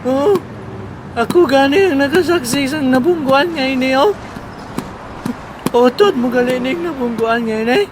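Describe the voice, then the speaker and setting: A performer playing an excitable, gossipy villager in a comedic audio drama, exclaiming and repeating phrases urgently. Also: sits high, a little slow, pauses often, background noise. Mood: agitated surprise. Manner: exclamatory, repetitive.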